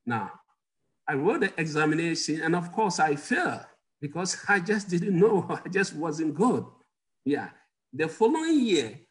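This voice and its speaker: Man telling stories on a video call. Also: high-pitched. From Ghana. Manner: warm storyteller, chuckles often, asks the audience questions. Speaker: guest doctor. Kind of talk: personal storytelling in an interview. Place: home office, indoors.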